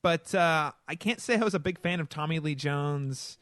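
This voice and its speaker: A man with a fairly high voice in a casual podcast conversation. He talks with enthusiasm and an agreeable, chatty manner. The recording is dry, with very little room sound. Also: moderate pace, fairly bright tone, no room sound. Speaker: podcast co-host and film critic.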